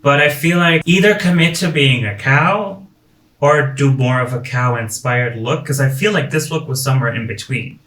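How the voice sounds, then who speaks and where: Bright-voiced Frisian man in echoey room. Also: mid-range voice, far from the mic. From Friesland, Netherlands. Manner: opinionated, measured critique. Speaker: podcast co-host. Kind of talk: casual review chat. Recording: echoey room, away from mic.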